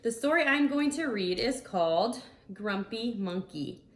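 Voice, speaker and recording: A woman reading a storybook aloud to young children in an expressive, deliberate manner. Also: fairly high pitch. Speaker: school instructional coach reading aloud. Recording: roomy, echoey sound.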